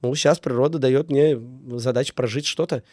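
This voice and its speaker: A man's voice: mid-range voice, moderate pace, warm tone, no room sound, clean studio sound. A man getting over a cold, talking earnestly in a casual interview and repeating key words with many fillers. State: recovering from a cold. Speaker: business owner, guest.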